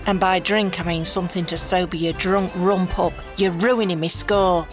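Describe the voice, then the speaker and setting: A woman's voice: lowish voice, fairly even intonation, moderate pace, music in the background, close to an everyday voice. A woman playing a cocky, boastful elf adventurer in a fantasy audio drama, with a brash, showy manner. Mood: wry, bossy.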